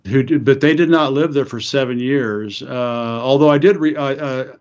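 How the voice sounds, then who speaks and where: A man from Eastern Oklahoma with an American accent, interviewing a guest in a casual, halting conversation full of restarts. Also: medium pitch, darkish tone. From Eastern Oklahoma, United States. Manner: halting, many restarts, chuckles often. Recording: muffled lo-fi audio.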